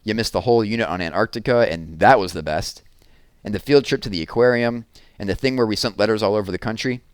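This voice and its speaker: A man's voice: fairly low pitch, quick pace, clear, resonant tone, no echo, studio quality, natural voice. A man voicing a young boy who mocks and taunts. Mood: mocking. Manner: mocking, taunting. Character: mean-spirited young boy, mocking.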